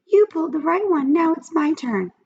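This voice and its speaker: Woman as little talking pigtail for a children's tale: very high pitched, whiny, coaxing, swooping delivery. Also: big pitch swings, moderate pace, darkish tone, highs cut off. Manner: cajoling, whiny. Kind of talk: children's storybook character voice.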